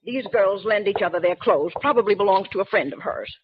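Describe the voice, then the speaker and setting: A woman's voice: mid-range voice, fairly quick, natural voice. A woman playing a chatty, nosy landlady. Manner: chatty, homely. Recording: dull, faintly noisy 1940s radio broadcast.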